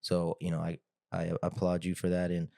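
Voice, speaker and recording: A man's voice: low voice, monotone, normal speed, gravelly, dark tone, no echo, clean studio sound. A man hosting a podcast in a casual, rambling way, padding his talk with fillers. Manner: rambling, hedging with frequent "like" and "you know". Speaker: podcast host, interviewer. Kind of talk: casual chat.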